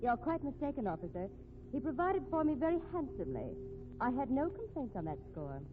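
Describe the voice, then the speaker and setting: An actress playing a cool, unflappable widow under suspicion in a 1950s radio mystery, arch, haughty and drily mocking. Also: female voice, high voice, big pitch swings, a little fast, music in the background. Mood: haughty, defiant. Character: cool, sardonic, unflappable widow, a murder suspect. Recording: noisy, tinny old radio recording.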